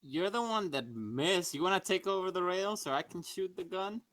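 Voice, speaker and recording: A man playing a tabletop role-playing game, bantering casually in an animated, argumentative way. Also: high-pitched, normal speed, no room sound. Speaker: player, ship's pilot.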